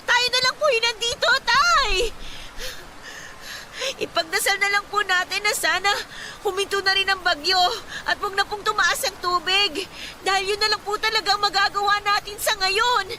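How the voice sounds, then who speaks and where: High-pitched, emotional young Filipina daughter. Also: female voice, normal speed, background noise. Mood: urgent, pleading, then tearful, resigned. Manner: emotional, insistent, respectful to her father. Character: poor, worried young daughter, pleading and frustrated. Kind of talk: Filipino radio drama acting.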